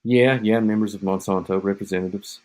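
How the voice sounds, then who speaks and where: A man's voice: fairly low pitch, fairly even intonation, fairly quick, a little mellow. A man co-hosting a podcast, rambling and joking in casual chat, drifting off mid-thought, with an echo on his home mic. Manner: joking, meandering, trails off. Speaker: podcast co-host.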